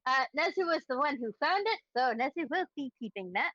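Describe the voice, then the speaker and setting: A woman playing a tabletop roleplaying game, speaking in a measured, wry way. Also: high-pitched, expressive, fairly bright tone, no room sound, highs cut off.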